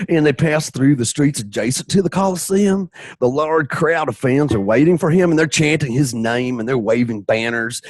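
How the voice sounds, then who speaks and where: A man narrating an amateur radio play in a raspy, hammy, playful voice, often shouting and dropping joking asides. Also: medium pitch, dry recording.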